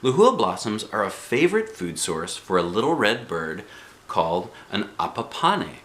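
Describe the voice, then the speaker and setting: Man acting an upbeat, bright-voiced tour guide. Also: lowish voice, big pitch swings, normal speed, roomy sound. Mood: explaining. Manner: formal, announcing, polite. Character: earnest, upbeat male rainforest hiking tour guide.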